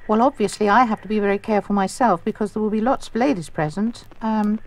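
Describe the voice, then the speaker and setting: A man's voice: high-pitched, fast, pitched above the performer's own voice. A man voicing a prim, genteel middle-aged chairwoman on a prank phone call, polite and hesitant. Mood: coy, flustered.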